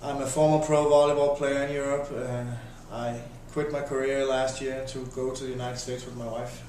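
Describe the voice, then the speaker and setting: A man in his thirties with a Danish accent, answering interview questions in a halting, casual way with many restarts. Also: mid-range voice, level tone, a little mellow, a little hiss or hum. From Denmark. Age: 30s. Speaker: interviewee, volunteer volleyball coach. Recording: echoey room, voice from a distance.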